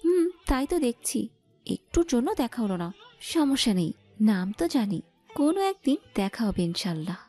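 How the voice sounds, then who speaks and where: Audio drama ingenue, female voice actor: high and mellow, sweetly earnest, independent-minded, faint music beneath. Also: very animated, moderate pace. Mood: curious. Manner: affectionate, earnest. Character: sweet, eager, independent young daughter.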